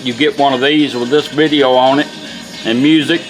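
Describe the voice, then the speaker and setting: A man's voice: mid-range voice, steady tone, normal speed, soft background music, poor audio. A man giving a casual, hands-on product demonstration, explaining things step by step in plain, informal words. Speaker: car radio restorer demonstrating a product.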